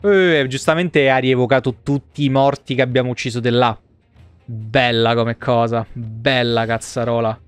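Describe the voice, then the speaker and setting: A man's voice: medium pitch, fairly even intonation, normal speed, smooth. A man in his thirties casually commenting on a game live, in slangy speech with free swearing. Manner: crude, slangy, swears freely. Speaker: game streamer. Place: small room at home, streaming setup. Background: game music.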